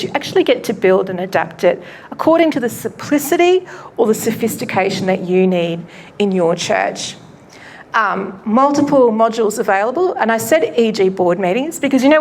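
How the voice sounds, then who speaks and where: A woman pastor giving a live presentation to an audience at a launch event, loud and chatty, often laughing and poking fun at herself, her microphone cutting in and out. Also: medium pitch. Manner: loud, chatty and self-mocking; laughs often while talking. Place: event venue.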